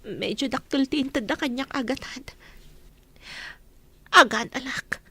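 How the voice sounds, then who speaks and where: A woman's voice: sits high, a little slow, sharp tone, husky, dry recording, exaggerated voice. A woman with an Ilocano accent playing a wronged mother bent on revenge in a radio drama, speaking with intensity. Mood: determined. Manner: determined, intense.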